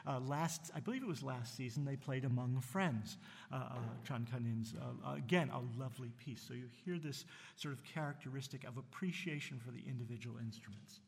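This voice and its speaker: A man from New Jersey introducing pieces at a concert, talking haltingly with many fillers and restarts, and enthusiastic about the music. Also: mid-range voice, gravelly, slightly rough. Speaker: concert host. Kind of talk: casual spoken introduction between concert pieces. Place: concert hall with audience. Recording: long hall reverb.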